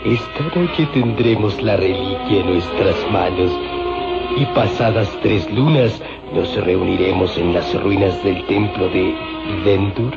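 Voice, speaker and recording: Slow, low-voiced greedy henchman. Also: vocal fry, heavily acted. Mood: sly, menacing. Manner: slow, sly, menacing. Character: greedy, murderous hired tomb robber, obedient henchman. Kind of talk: Mexican radio drama acting. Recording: lo-fi radio recording under loud music.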